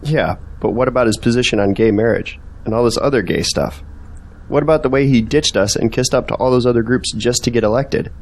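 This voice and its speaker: A man's voice: mid-range voice, a little fast, a little mellow, lightly acted. A young man playing an earnest, faithful friend in an audio drama, sincere and questioning. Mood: disapproving. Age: young adult.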